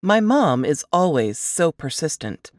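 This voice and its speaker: A woman's voice: low-pitched, very animated, measured pace, no echo, studio quality. A woman reading a novel excerpt as dramatic first-person audiobook narration.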